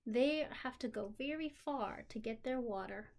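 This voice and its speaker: A woman, a teacher reading aloud and explaining simply to children in an unhurried, questioning way. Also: a little high, vocal fry, darkish tone. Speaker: special education teacher.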